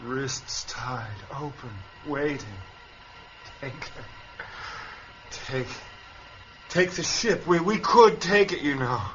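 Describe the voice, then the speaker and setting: A man playing a suspicious, brooding astronaut doctor in a radio play, speaking in halting, broken phrases. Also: on the high side, dull audio, a little hiss or hum. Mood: drugged, rambling.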